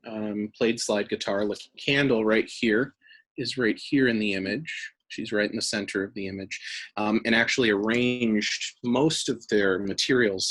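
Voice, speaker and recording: A man giving an informal, halting and thoughtful explanation of archive items as an interviewed staff member. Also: fairly low pitch, fairly bright tone, rough recording.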